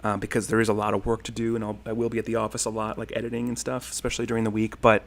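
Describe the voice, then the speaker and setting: A man's voice: fairly low pitch, monotone, racing. A man in his thirties hosting a casual livestream, rambling and thanking viewers as he reads their comments. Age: 30s. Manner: rambling, friendly, many ums and thank-yous. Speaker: livestream host.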